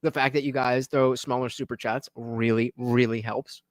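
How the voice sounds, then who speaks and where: A man hosting a live stream, reacting to a debate clip with quick, profane asides. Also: medium pitch, little pitch movement, dry recording, clean studio sound.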